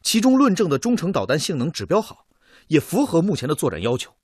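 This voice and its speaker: An actor playing an earnest missile designer in a radio drama, arguing his bold plan to a meeting in an emphatic, deliberate way. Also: a little high, wildly swooping pitch, a little mellow, slightly rough, no echo, studio quality, exaggerated voice. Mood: earnest, insistent. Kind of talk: radio drama acting, formal speech to a meeting.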